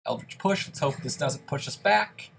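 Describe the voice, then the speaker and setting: A man's voice: medium pitch, animated, moderate pace, gravelly, a little hiss or hum. A young man giving casual, self-deprecating play-by-play commentary on a dungeon game while a bit sick. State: slightly sick, occasional coughs. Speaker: gaming YouTuber.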